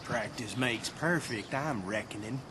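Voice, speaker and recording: A man's voice: mid-range voice, unhurried, background noise, lightly acted. An actor playing a dim, folksy American cowboy henchman in a video game cutscene, with a mock-courteous Southern drawl. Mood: folksy, wry.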